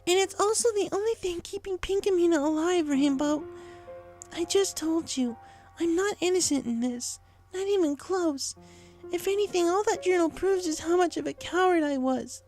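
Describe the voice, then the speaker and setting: Very high-pitched, guilt-ridden young pony. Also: female voice, moderate pace, a little mellow, soft background music. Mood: pleading, resolute. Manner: pleading, emotional. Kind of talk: dramatic audio fiction reading.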